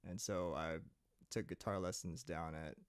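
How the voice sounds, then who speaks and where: A young man from Saipan talks in a very low voice, easy and unhurried, full of "um" and "like". The sound is close and dry, with no room to it. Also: clear, resonant tone, no room sound. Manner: relaxed, rambling storytelling with many fillers. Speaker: guest singer-songwriter. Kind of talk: casual interview chat.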